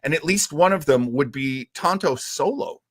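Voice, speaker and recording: A man's voice: a little high, lively intonation, moderate pace, dry recording. Enthusiastic man in a dry, close recording. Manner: enthusiastic, rambling fan talk. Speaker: co-host of a retro radio show. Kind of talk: casual live-stream chat about old radio serials.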